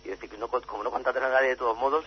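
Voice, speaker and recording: A man, a journalist and author, answering in a radio interview, halting with many fillers and restarts before rushing ahead. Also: medium pitch, steady tone, breathy edge. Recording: muffled lo-fi radio audio with faint hum.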